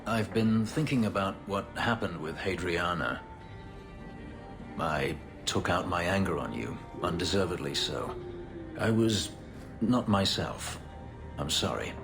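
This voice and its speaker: Deep, grave ex-slave elf warrior; controlled, clipped; reverberant game audio with music. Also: male voice, expressive, a little slow. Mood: remorseful. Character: brooding elven male ex-slave warrior, bitter. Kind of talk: video game voice acting.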